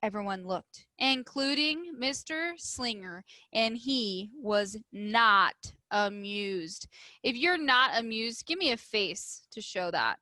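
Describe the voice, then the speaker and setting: Woman, primary school teacher type, for expressive read-aloud; bright, forward voice, slow emphatic delivery. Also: medium pitch, no echo. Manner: emphatic, instructive, addressing children. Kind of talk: expressive storybook read-aloud with questions to pupils.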